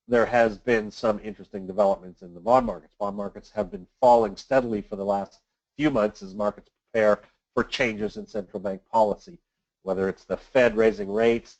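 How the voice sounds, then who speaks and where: A man presenting a semi-scripted financial briefing as an analyst, explaining things in a measured, matter-of-fact way. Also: fairly low pitch, creaky, a little mellow, low-quality recording. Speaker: financial analyst hosting a weekly market briefing. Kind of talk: semi-scripted market commentary.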